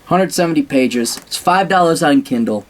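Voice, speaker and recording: Blunt, sarcastic man with a lively pitch. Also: normal speed. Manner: blunt, sarcastic, swears freely; rambling with frequent restarts. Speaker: vlogger giving blunt career advice. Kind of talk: casual monologue to camera.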